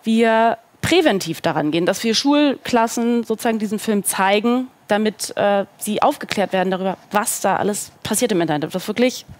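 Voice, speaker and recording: A woman's voice: mid-range voice, lively intonation, brisk, hardly any pauses. A woman in her thirties speaking as a talk show guest, informal and casual, dropping in English phrases. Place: indoor talk studio with seated group. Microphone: headset.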